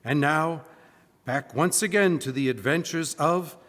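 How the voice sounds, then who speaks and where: A man's voice: a little high, a little slow, fairly bright tone. A man playing an enthusiastic old-time radio announcer, reading in an emphatic, salesmanlike style. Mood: upbeat, emphatic. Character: polished, enthusiastic male radio sponsor announcer. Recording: live stage in reverberant church hall.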